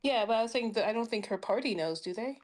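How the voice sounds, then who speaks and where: A woman talks as a player in a tabletop game. Her voice sits a little high, and she speaks in a loose, casual, conversational way. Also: expressive, fast talker. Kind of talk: casual chat.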